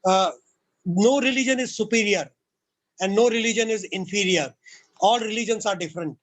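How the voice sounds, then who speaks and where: A man giving a prepared lecture point by point, earnest and measured, pausing often with "uh". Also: high-pitched. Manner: measured, earnest, many "uh" pauses.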